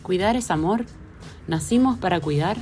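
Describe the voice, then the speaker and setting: A woman co-host reading an earnest, measured opening statement. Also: on the low side, animated, music in the background. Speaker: podcast co-host.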